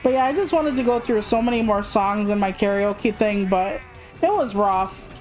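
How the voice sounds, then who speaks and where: A woman's voice: mid-range voice, moderate pace, a little mellow. Candid woman streamer chatting over music. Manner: candid, rambling, self-deprecating, swears freely. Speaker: video game livestreamer. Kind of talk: casual livestream chat.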